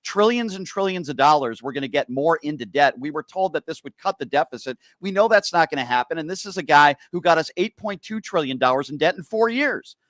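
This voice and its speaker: A man's voice: high voice, fairly bright tone, dry recording. A man from Connecticut hosting a heated political debate, pressing his guest with rhetorical questions. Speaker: host.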